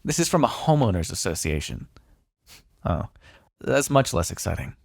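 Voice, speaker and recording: Male vampire, played by a man for ASMR roleplay: sardonic, secretly fond, very animated pitch, creaky low edge. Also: normal speed, no room sound, clean studio sound, heavily acted. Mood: amused teasing. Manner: sardonic, conversational, teasing, with sighs and swearing. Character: smug, teasing male vampire, wry and grudgingly fond. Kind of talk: intimate ASMR roleplay monologue.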